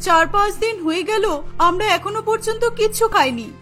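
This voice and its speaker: Cartoon poor older mother-in-law, acted by a woman: emphatic, needy, very high and sharp. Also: expressive, fairly quick, faint music behind, exaggerated voice. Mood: reproachful. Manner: plaintive, emphatic. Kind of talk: cartoon character acting.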